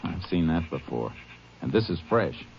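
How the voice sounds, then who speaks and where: An actor playing a steady, shrewd Texas Ranger who also narrates, terse and authoritative, in a 1950s radio crime drama. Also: male voice, low-pitched, moderate pace, gravelly. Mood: observant. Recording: noisy, muffled old radio broadcast.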